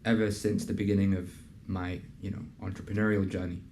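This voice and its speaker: A young man hosting a casual interview and pitching his program in an earnest, conversational way, with plenty of fillers. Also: level tone, a little fast, warm tone, slight background noise. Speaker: host and program founder. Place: in a building in a city business district.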